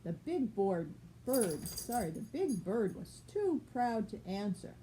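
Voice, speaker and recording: A woman's voice: medium pitch, very animated, slow, mellow, a little hiss or hum, low-quality recording. An older woman reading a picture book aloud as a grandmother, explaining things simply for a child with casual asides.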